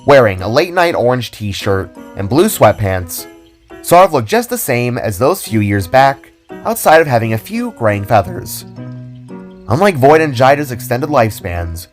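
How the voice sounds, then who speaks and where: A man narrates a written story as its storyteller. His pitch sits in the middle of the male range, and music plays behind his scripted reading. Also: sing-song, measured pace. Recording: background music under the voice.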